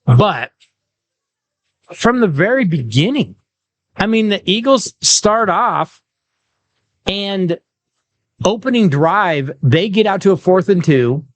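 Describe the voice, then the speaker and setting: A man's voice: sits high, sing-song, no echo. Chatty sports-talk host man. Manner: chatty, quick asides, leading questions. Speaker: podcast host. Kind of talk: casual sports chat and interviews.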